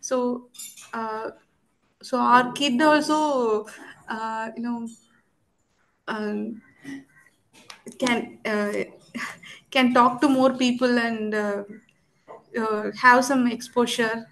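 A woman, a parent joining a video call, speaking hesitantly with many pauses and fillers. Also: fairly high pitch, fairly even intonation, a bit bright, a little hiss or hum, low-quality recording. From India. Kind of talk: casual video-call discussion.

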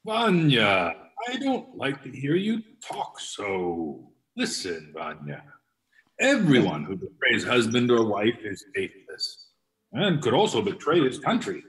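A man reading a meek, wounded but proud hanger-on in an amateur play, earnest and halting, over a video call. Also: mid-range voice, wildly swooping pitch. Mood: reproachful. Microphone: video call microphone. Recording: distant video-call microphone.

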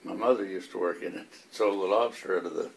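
A man's voice: sits low, quick pace, gravelly, rough recording. An older man casually recalling local people and family from the past. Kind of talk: casual reminiscing.

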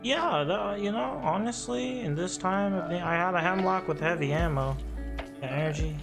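A man's voice: high voice, moderate pace, creaky. A young man streaming a shooter game from home, joking and rambling to his friends while he plays, with game sounds and music behind him. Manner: goofy, joking, talks to himself while playing. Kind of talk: casual gaming banter. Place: home room, webcam streaming setup.

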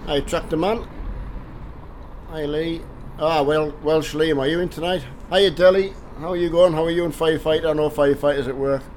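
An older man from the north of England, hoarse from a cold, chatting casually on a game live stream and greeting viewers by name through a headset mic. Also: fairly high pitch, steady tone, moderate pace, a little mellow, slight background noise. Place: home gaming room with driving rig.

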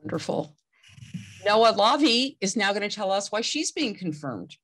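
A woman with a medium-pitched voice leads a service. She introduces each participant in an informal spoken style. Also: big pitch swings, normal speed, fairly bright tone.

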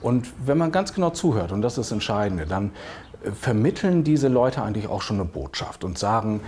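A middle-aged man speaks in a fairly low voice, reflecting as he answers in an interview. There is some faint noise around him. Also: big pitch swings, fairly quick, a little mellow. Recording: clear background noise.

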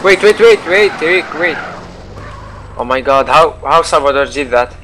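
A man chatting casually and excitedly with friends on voice chat while playing an online game. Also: fairly high pitch, a little slow, a little hiss or hum, low-quality recording. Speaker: gamer in an online roleplay game. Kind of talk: casual voice-chat during gameplay. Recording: game voice chat under loud music. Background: loud music, game gunfire and vehicles.

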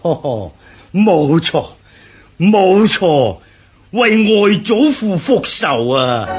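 An actor playing an earnest Xiongnu noble elder urging revolt in a historical radio drama, theatrical and measured, with a Hong Kong Cantonese accent. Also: male voice, high-pitched, sing-song, slightly rough, soft background music, full character voice. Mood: delighted.

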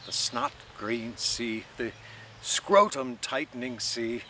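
A man's voice: mid-range voice, slow pace, pushed and bright, a little hiss or hum. A man voicing a mocking, theatrical young man who declaims and teases in a sing-song way.

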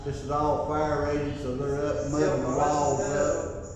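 A man's voice: fairly high pitch, little pitch movement, unhurried. A man giving a plain-spoken, matter-of-fact tour of a building under construction. He is filming himself with a 360 camera on a pole as he walks. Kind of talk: casual walking site tour. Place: indoor building under construction, unfinished floor. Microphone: 360 camera on a pole held at arm's length. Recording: echoey bare room, loud site noise, mic at a distance.

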